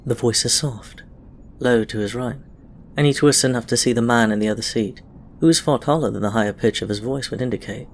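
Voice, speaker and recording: A man's voice: medium pitch, brisk. A man reading a scripted story aloud as an audiobook-style narrator. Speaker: audiobook-style reader of fan fiction. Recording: clear background noise.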